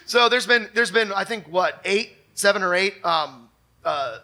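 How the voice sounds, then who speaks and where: A man co-hosting a live comedy show, talking off the cuff in a halting, exclamatory way and often restarting himself. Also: sits high, animated, pushed and bright. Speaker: co-host of a local comedy show. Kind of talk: comedic unscripted talk before a live audience.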